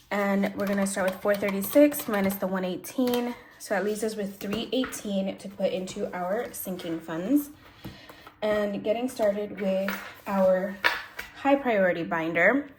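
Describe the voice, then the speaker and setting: A woman's voice: mid-range voice, darkish tone. A congested, sniffly woman hosting a budgeting vlog, chatting casually and correcting herself as she thinks aloud. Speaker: budgeting channel host. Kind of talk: casual cash-stuffing walkthrough. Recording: echoey room, faint noise.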